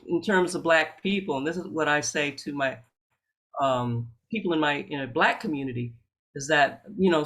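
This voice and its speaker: Fairly high-voiced man leading a group. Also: fairly bright tone. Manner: affirming and encouraging, reflective. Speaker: workshop facilitator and clinician. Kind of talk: facilitating a group discussion.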